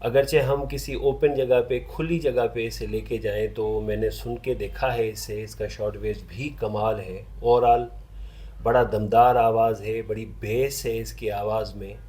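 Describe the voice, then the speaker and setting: Low-voiced man reviewing gadgets. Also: level tone, unhurried, dark tone. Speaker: vintage radio reviewer. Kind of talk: casual product review in Hindi-Urdu.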